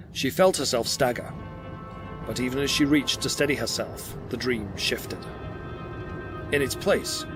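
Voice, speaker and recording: Man, medium pitch, dramatic scripted storytelling, audio drama narrator, clear music underneath.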